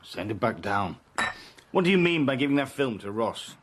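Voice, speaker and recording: An actor playing a wry, insubordinate working-class spy in a radio drama, blunt and sardonic in manner. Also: male voice, mid-range voice, sing-song, normal speed, close to an everyday voice. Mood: curt, sardonic. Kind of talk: radio drama acting with first-person narration. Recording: broadcast radio drama with faint noise.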